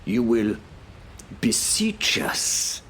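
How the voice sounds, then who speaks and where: Man playing a dry, mock-polite, deliberate knight. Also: on the low side, lively intonation, creaky, a little hiss or hum, voice pushed up. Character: dry, unruffled knight named William, feigning confusion.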